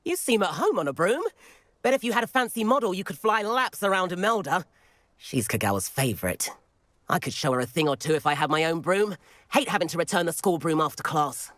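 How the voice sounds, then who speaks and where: A man's voice: high-pitched, big pitch swings, fairly quick, fairly bright tone, no room sound, clean studio sound. A man playing a cheeky, adventurous teenage schoolboy wizard in a video game, chatty, eager and well-spoken. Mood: friendly, wistful. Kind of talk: video game character acting.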